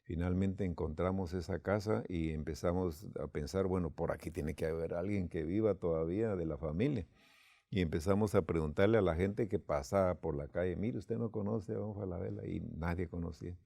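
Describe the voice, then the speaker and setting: A man's voice: low voice, a little fast, vocal fry, dark tone, dry recording, studio quality. A man from Guatemala, telling a personal story unhurriedly with quoted dialogue, as a church leader.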